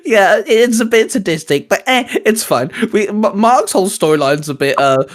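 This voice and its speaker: A man's voice: high voice, expressive, normal speed. A man co-hosting a casual, geeky discussion in a conversational way. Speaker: co-host.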